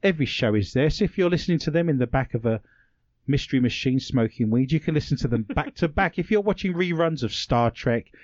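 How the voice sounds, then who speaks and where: Animated British radio host. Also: male voice, mid-range voice, fast talker, dry recording, dull audio. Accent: British English. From Britain. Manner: playful, rambling, joking asides. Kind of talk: bantering talk radio hosting. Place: radio studio.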